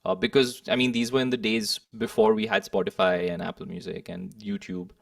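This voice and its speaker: A man's voice: fairly low pitch, level tone, brisk, gravelly, clean studio sound. A man from India with an Indian accent talks casually and reflectively as an interviewed musician, discursive and thoughtful, often adding "like" and "you know". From Kolkata, India. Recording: roomy, slightly distant microphone.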